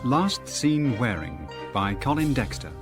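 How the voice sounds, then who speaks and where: A man's voice: mid-range voice, big pitch swings, measured pace, over loud music. A man making a formal scripted title announcement for radio.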